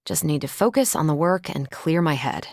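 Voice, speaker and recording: A woman's voice: low voice, expressive, normal speed, a little mellow, no echo, studio quality. A woman playing a confident, wry female superhero soldier in a video game, breezy and assured in her delivery. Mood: wry, relaxed. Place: dry studio recording.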